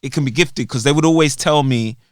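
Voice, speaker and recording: Smooth-voiced London man telling his story. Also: medium pitch, brisk, dry recording, studio quality. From Jamaica. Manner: laid-back, rambling storyteller with street slang. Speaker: guest musician. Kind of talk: casual podcast interview. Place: podcast studio.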